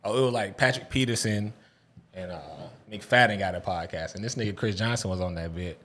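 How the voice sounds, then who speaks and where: A man's voice: fairly low pitch, brisk, a bit bright, slight background noise. A man, chatting in a laid-back way about sports and everyday life. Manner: laid-back, slangy, chuckles. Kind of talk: casual chat.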